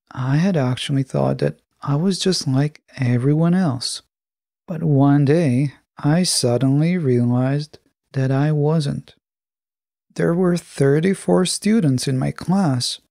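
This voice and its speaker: A man hosting a solo podcast, reading scripted narration in a measured, deliberate way. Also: a little high, gravelly, a little mellow, dry recording, studio quality. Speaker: podcast host.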